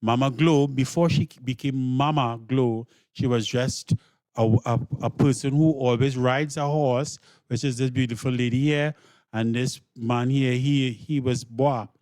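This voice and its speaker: A man explaining things in an interview as a drama director, deliberately and with repeated words for emphasis. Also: mid-range voice, steady tone, darkish tone, no room sound.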